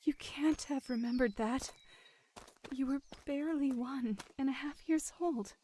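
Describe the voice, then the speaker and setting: A dying mother in a dramatic game scene. Her voice sits fairly high and moves very slowly. She is gentle, selfless and soothing, full of tender care. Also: slight background noise. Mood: tender, sorrowful. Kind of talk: emotional dramatic game voice acting.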